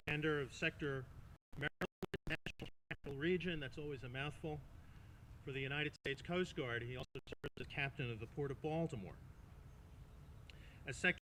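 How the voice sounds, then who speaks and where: A man's voice: a little high, fairly quick, pauses often, background noise. A man with a Long Island accent, acting as master of ceremonies, reads a formal introduction with brief informal asides. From Smithtown, Long Island, New York.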